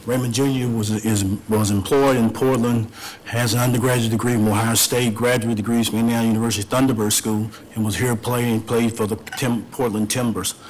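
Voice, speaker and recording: A hoarse older man, an appellant property owner, giving an earnest, measured formal statement at a public hearing. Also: medium pitch, fairly even intonation, a little hiss or hum, poor audio.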